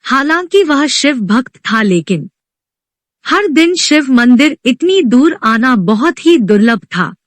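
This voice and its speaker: Slow, high-voiced woman storyteller. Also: no echo. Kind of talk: scripted devotional story narration.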